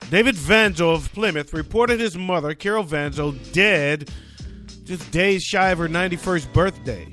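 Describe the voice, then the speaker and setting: A man's voice: on the high side, normal speed, pushed and bright, soft background music. A man hosting a podcast, reading odd news stories and adding sarcastic, joking asides. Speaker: podcast host. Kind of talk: comic news commentary.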